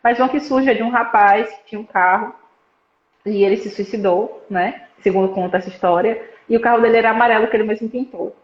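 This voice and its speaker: A woman's voice: on the high side, steady tone, fast. Young Brazilian woman, roomy lo-fi call. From Petrolina, Pernambuco, Brazil. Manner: articulate, explanatory, with frequent "né" tags. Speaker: psychology student and suicide prevention project founder. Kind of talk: casual live-stream interview. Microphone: video call. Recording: roomy, dull lo-fi live stream audio.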